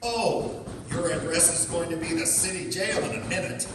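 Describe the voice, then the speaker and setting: A man playing a gruff, sarcastic, exasperated police inspector in an old-time radio detective drama, full of bluster. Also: a little high, moderate pace, lightly acted. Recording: echoey hall, distant, faint noise.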